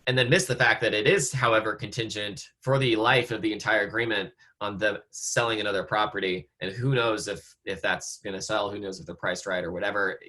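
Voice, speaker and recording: A man with an American accent, slightly hoarse, teaching real estate agents over a video call in a casual way, with lots of fillers and restarts. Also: on the low side, sharp tone, poor audio. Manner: conversational, many fillers and restarts. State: slightly hoarse, losing his voice. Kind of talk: online training talk.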